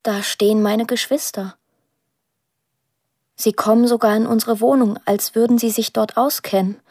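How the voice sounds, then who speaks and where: Pensive young woman, slightly husky. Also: on the high side, steady tone, moderate pace, a little mellow, no room sound, clean studio sound. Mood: wistful, tense. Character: pensive young woman narrating her estranged family. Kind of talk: introspective radio drama narration.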